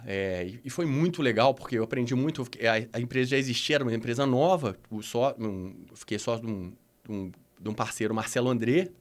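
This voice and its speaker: Reflective man with a Minas Gerais accent. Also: medium pitch. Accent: Minas Gerais Portuguese. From Minas Gerais, Brazil. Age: middle-aged. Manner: reflective, many restarts and "né" tags. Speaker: guest. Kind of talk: podcast interview storytelling.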